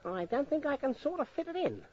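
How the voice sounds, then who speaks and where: An actor playing a shifty, slangy small-time informant with an Australian accent and a hesitant, wheedling way of talking, in old-time radio style. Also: male voice, very high-pitched, sing-song, fast. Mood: breezy, evasive. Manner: hesitant, stammering, chuckling, slangy. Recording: dull vintage radio recording.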